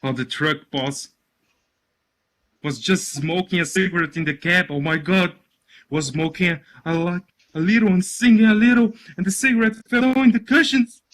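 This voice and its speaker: A man voicing an excitable, panicky opera-loving worker in a story, gasping and babbling melodramatically. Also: high-pitched, normal speed, pitched above the performer's own voice. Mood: panicked.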